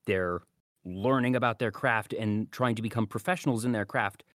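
Male podcast host, lowish register, casual anime commentary, dry sound. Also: no room sound.

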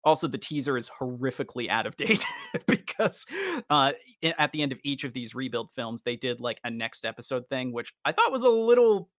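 A man's voice: mid-range voice, expressive, fairly quick, a bit bright, no room sound, studio quality. A man hosting a casual podcast, talking in wordy, roundabout and slightly formal phrases. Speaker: podcast host.

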